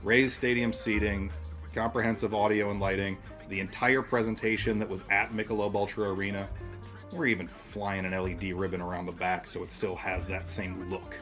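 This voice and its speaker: A man's voice: on the low side, flat delivery, a little fast, fairly bright tone. A man speaking as an event organizer, explaining plans in an articulate, detailed, businesslike way. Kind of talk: explanatory interview talk. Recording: clip with music behind it. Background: background music.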